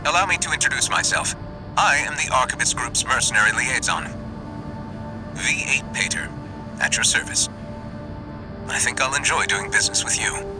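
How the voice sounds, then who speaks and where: A courteous corporate liaison, voiced by a male game actor, leaving a formal message in a mid-pitched voice. He is suave and polite in his phrasing. Clear game music sits behind him. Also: normal speed, long pauses, lightly acted, low-quality recording. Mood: pleased, courteous. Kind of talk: video game voice message acting.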